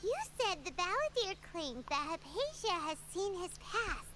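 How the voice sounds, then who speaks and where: A woman voicing a small, excitable childlike fairy companion in a game, with a squeaky voice. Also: moderate pace, music in the background. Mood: curious. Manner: refers to self by name in third person. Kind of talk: animated game voice acting.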